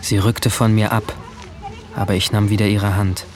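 A man's voice: on the low side, flat delivery, moderate pace, close to an everyday voice. A man playing a troubled, brooding seventeen-year-old boy who narrates his own story introspectively in a radio drama. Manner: introspective, literary. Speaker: narrator and lead character.

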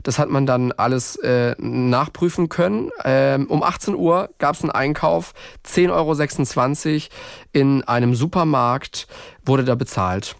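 A man co-hosting a true-crime podcast, talking casually in a halting, reflective way and often repeating phrases. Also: mid-range voice, vocal fry, clear, resonant tone, no echo, studio quality. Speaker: podcast co-host.

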